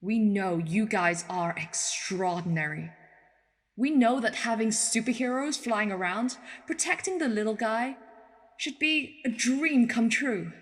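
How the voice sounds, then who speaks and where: A woman's voice: a little high, measured pace, close to an everyday voice. Woman playing a frank military leader, echoing hall. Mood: frank, earnest. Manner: frank, earnest. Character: tough, plain-spoken ex-military woman, human agency leader. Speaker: radio play actor. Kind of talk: persuasive speech in a radio play. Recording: long hall reverb.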